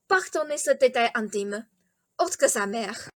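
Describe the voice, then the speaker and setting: Woman as polite eccentric king, high voice, dry close sound, formal phrasing, radio drama. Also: animated, fairly bright tone, no echo. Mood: courteous, confiding. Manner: formal, over-polite, measured. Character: courteous, eccentric, ceremonious old-fashioned king, a doting father. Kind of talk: theatrical radio play acting.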